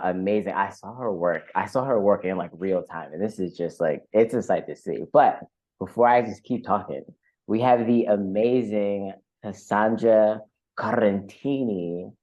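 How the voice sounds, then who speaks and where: Effusive low-voiced man hosting a podcast. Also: measured pace, a little mellow, highs cut off. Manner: effusive, hesitant phrasing with repeated words. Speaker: podcast host, interviewer. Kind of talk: conversational podcast interview.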